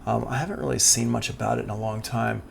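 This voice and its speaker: Gravelly man giving a casual software tutorial. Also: medium pitch, steady tone, fast talker, slight background noise. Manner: casual, informal. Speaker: music production instructor. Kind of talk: live software tutorial, thinking aloud. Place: indoors, livestream class.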